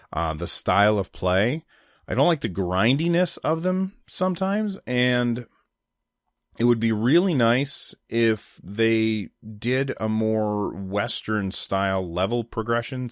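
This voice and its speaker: A man's voice: low voice, no room sound, clean studio sound. A man talking casually and informally as a solo video game commentator, rambling a little and giving his opinions. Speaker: gaming podcast host.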